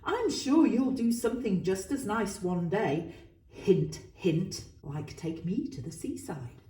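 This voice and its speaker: A woman's voice: mid-range voice, lively intonation, slow pace, mellow, reverberant. A woman voicing a pushy, wheedling female monkey who coaxes and drops hints. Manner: coaxing, hinting.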